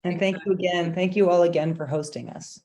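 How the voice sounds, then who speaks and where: Woman panelist, halting and reflective. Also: lowish voice, steady tone, darkish tone. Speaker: panel speaker. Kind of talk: unscripted panel remarks. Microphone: video call.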